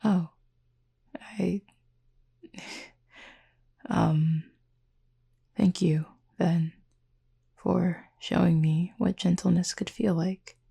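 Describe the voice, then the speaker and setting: A woman playing a reserved, tender cupid roommate in an intimate audio roleplay, speaking formally and gently wry in a breathy, husky voice. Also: lowish voice, steady tone, extremely slow, long pauses, heavily acted. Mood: tender, touched. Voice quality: husky, breathy, often near whisper.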